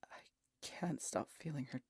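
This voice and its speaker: A woman playing a weary, guarded, sardonic vampire in an intimate audio roleplay, with a breathy, husky delivery that often drops toward a whisper. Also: darkish tone, no room sound, lightly acted. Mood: shaken, hushed. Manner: dry, sardonic, halting. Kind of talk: intimate scripted audio roleplay addressed to the listener.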